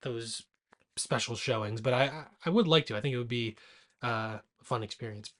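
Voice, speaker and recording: A man's voice: mid-range voice, moderate pace, fairly bright tone. A man co-hosting a podcast, chatting casually about movies in a dry, teasing way. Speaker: podcast co-host.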